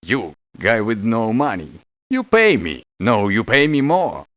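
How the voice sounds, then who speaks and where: A man's voice: fairly low pitch, huge pitch swings, measured pace, a bit bright, no echo, full character voice. A man voicing a hostile, greedy video game mercenary who speaks blunt, broken English. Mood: greedy, threatening. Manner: broken English, blunt short phrases, contemptuous. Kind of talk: video game character voice lines.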